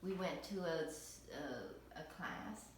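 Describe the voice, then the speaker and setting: A woman's voice: low-pitched, expressive, slow pace, slight background noise. A woman from Vietnam being interviewed as a parent, speaking hesitantly with many fillers and restarts. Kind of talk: casual recorded interview. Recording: echoey room, distant mic.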